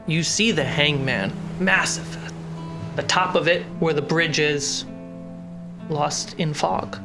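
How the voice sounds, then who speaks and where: A game master hosting and narrating a tabletop fantasy game in a dramatic, measured way with pauses. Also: mid-range voice. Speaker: game master and host of the show. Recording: music behind the voice. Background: plucked guitar music.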